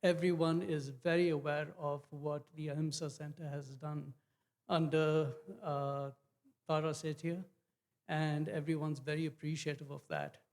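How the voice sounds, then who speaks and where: A man making a public comment from the floor, speaking in a halting, measured way with pauses and fillers. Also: fairly high pitch, little pitch movement, a little mellow. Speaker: audience member. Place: event hall.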